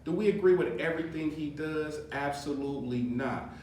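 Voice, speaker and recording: A man's voice: on the high side, normal speed, a little mellow. A man hosting a podcast in a casual political chat. He talks loosely, chuckles often, and uses many fillers and restarts. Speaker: podcast host. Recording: very echoey room, mic far off, faint noise.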